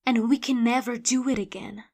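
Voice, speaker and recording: A woman's voice: on the high side, steady tone, moderate pace. A woman playing a wary, sceptical resistance fighter in an audio drama, terse and practical. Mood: doubtful. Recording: loud noisy sound effects behind.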